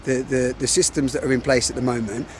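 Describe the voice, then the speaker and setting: A man's voice: mid-range voice, steady tone, fast, darkish tone. Man interviewing outdoors, faint traffic. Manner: short agreeing replies. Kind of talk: casual interview.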